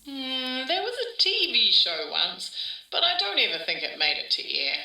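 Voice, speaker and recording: Older aussie lady reminiscing for a podcast drama, sharp forceful voice, kinda far from mic in a roomy space, rambly. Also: sits high, big pitch swings, moderate pace, full character voice. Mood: resigned. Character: wistful older Australian woman reminiscing about her small-town childhood. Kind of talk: interview-style podcast drama, conversational reminiscing. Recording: roomy echo, distant from mic.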